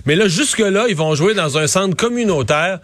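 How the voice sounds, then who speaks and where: A man with a Québécois accent giving animated, casual political commentary, often repeating and restarting words. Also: high-pitched, moderate pace, no room sound. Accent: Québécois French.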